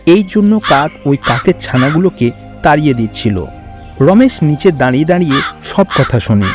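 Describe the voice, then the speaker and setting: Animated male fairy-tale narrator. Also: on the high side, fairly quick, faint music behind. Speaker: story narrator.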